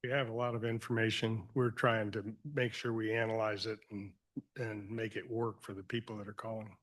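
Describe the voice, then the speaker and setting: A man's voice: fairly low pitch, level tone, normal speed, a bit bright. A man leading a local agency who answers questions at a county meeting. He speaks in a hesitant way, with fillers and restarts. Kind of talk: public meeting testimony. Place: county commission meeting room.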